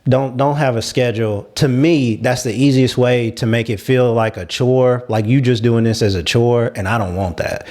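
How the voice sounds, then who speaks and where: A man's voice: medium pitch, fairly even intonation, normal speed, hardly any pauses, darkish tone. A man leading a casual panel discussion, making his points emphatically and often repeating himself to stress them.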